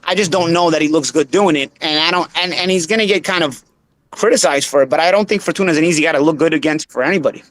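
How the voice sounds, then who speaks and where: A man in his thirties with a Bensonhurst Brooklyn accent, a former boxer hosting a casual boxing talk show, animated and tripping over repeated words. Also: fairly high pitch. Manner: animated, piles up quick restarts and repeated words.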